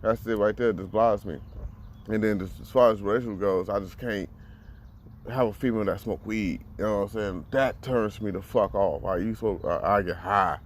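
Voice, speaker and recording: A man's voice: lowish voice, normal speed, a little hiss or hum. A man in his thirties talking bluntly and profanely in a casual monologue, often repeating words as he gathers his thoughts. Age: 30s. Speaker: vlogger.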